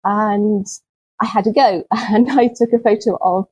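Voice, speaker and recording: Soft-spoken English woman, mellow husky tone. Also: mid-range voice, steady tone, rough recording. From UK, not Scotland. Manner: gentle, unhurried, with frequent restarts and soft laughs. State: chronically ill, speaks with low energy. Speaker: podcast guest, nature photographer. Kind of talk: conversational interview answers.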